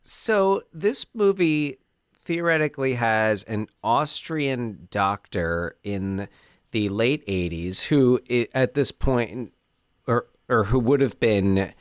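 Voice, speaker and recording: A man's voice: medium pitch, lively intonation, slow pace, no echo, studio quality. A man co-hosting a casual movie podcast, talking animatedly with frequent restarts. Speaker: podcast co-host. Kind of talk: casual chat.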